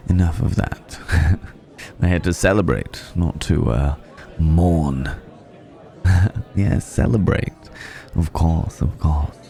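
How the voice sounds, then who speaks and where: Man acting a very deep-voiced, suave vampire. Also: vocal fry, mellow, exaggerated voice. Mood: apologetic, gentle, then playful, celebratory. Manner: leisurely, courtly, teasing, with hesitant pauses and hums. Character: suave, flirtatious ageless male vampire, indulgent and teasing. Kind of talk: intimate ASMR roleplay acting.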